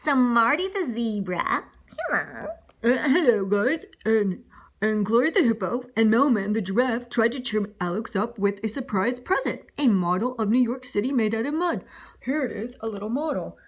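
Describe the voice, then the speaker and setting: A woman's voice: fairly high pitch, animated, normal speed, breathy edge. A woman reading a children's story aloud in a playful, exclamatory way, with ad-libs along the way.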